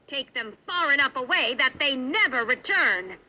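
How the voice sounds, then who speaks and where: A woman voicing a cruel, bossy housekeeper in a children's storybook, shrill and scolding. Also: expressive, moderate pace, sharp tone, heavily acted, poor audio. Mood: angry, scolding. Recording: music behind the voice.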